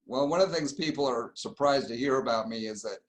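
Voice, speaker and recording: A man's voice: mid-range voice, fast, low-quality recording. Middle-aged man explaining patiently. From Argentina. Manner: explanatory, easygoing storyteller. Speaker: plastic surgeon, guest. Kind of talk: casual interview.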